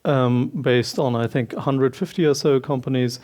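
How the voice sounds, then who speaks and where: A man in his forties with a Bavarian German accent, moderating a panel on a conference hall stage, pausing often with ums. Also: mid-range voice, fairly even intonation, a little mellow. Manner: hesitant, many ums, long introductions.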